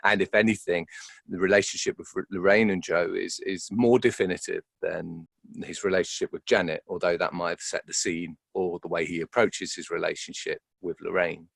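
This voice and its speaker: A man's voice: low-pitched, steady tone, fairly quick, vocal fry, dry recording. A man being interviewed as an actor, speaking reflectively and hesitantly, searching for words and repeating himself.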